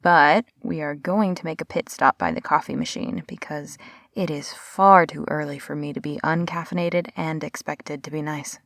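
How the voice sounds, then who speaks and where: A woman's voice: low-pitched, fairly even intonation, moderate pace, vocal fry, a bit bright, dry recording, clean studio sound. A woman playing a sarcastic, brusque but friendly workaholic researcher in a sci-fi audio roleplay, with a casual, wry delivery.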